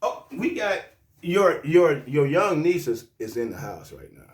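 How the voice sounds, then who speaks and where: A man's voice: on the high side, sing-song, a little slow, roomy sound, distant mic. A man hosting his own show in a loose, joking, profane way, with frequent restarts. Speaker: host. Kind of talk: casual show talk.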